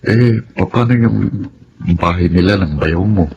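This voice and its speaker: Low-voiced, earnest young suitor. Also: male voice, measured pace, a little mellow, husky, full character voice. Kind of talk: Filipino radio drama acting. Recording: muffled, noisy radio drama recording.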